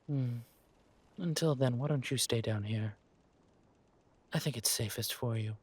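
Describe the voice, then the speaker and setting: A man as a slow, husky, suave villain lord. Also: mid-range voice, darkish tone, no room sound. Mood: silky threatening. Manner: mock-polite, menacing, drawn-out delivery. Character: suave, ruthless villain lord, doting on his lover. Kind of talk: villain roleplay audio drama.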